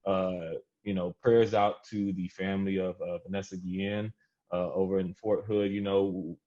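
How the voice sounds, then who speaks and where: Low-voiced male co-host for an informal podcast, slangy conversational delivery, dulled lo-fi sound. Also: little pitch movement, normal speed, mellow. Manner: slangy, peppers talk with "you know". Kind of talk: casual podcast chat. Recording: dull, lo-fi audio.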